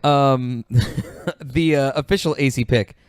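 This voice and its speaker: A man's voice: mid-range voice, takes it slowly, smooth, no echo. A man hosting a casual podcast, talking in a laid-back, slangy way with some swearing. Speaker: podcast host reading out listener suggestions.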